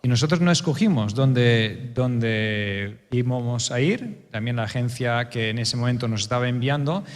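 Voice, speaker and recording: A man serving as a church elder speaks on a conference panel, his voice at an ordinary middle pitch. He hesitates often and lays out his points one by one. The hall around him adds a long echo. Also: expressive, moderate pace, hardly any pauses. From Spain. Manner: thoughtful, many hesitations, building points step by step. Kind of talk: panel discussion answer. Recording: reverberant hall.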